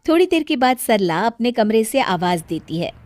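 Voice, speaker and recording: A woman's voice: fairly high pitch, very animated, normal speed, darkish tone, no echo. A woman narrator reading a scripted story in a storytelling style.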